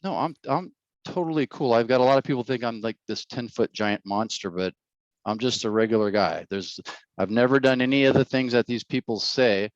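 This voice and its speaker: A man's voice: medium pitch, a little fast, no room sound, muffled recording. A middle-aged man, a mayoral candidate answering interview questions in a blunt, rambling way and repeating his words.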